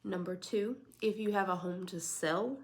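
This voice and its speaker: A woman who is sick and a little raspy, talking casually and emphatically as she gives advice as a realtor and channel host. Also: on the low side, normal speed. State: sick, slightly hoarse. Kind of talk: casual talk to camera, advice. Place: at home, indoors.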